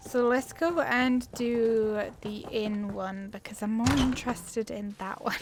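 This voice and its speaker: A woman's voice: on the high side, a little slow. A woman hosting a gaming video with casual, chatty commentary, thinking aloud informally as she plays. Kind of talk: casual let's play commentary.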